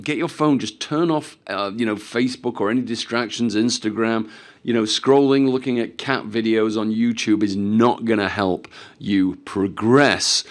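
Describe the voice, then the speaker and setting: Croaky man hosting a webinar. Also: medium pitch. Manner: upbeat, salesy, direct appeals to the audience. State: croaky voice while recovering from flu. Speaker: webinar host. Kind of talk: live webinar introduction.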